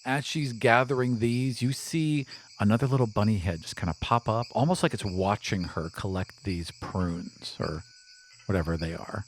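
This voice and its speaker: A man's voice: fairly low pitch, moderate pace, a little hiss or hum. A man working as game master, narrating a tabletop adventure in a casual, descriptive way.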